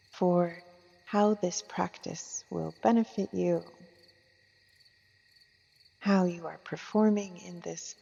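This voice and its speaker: A woman leading a guided meditation as a yoga teacher, gentle and soothing, with soft phrasing and long unhurried pauses. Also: mid-range voice, vocal fry, breathy edge. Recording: muffled, long reverb, music and insect sounds.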